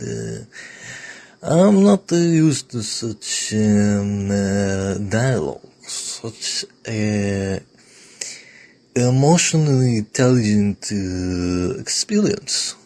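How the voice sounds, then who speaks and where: Man doing a gravelly, halting oddball. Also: on the low side, darkish tone, full character voice. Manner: halting, groping for words. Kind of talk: improvised character voice sample.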